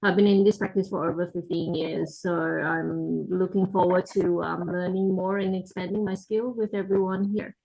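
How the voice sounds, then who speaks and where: Even-toned middle-aged woman introducing herself. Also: fairly low pitch, normal speed, creaky, hardly any pauses, low-quality recording. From Australia. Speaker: course participant, dentist. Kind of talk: brief self-introduction.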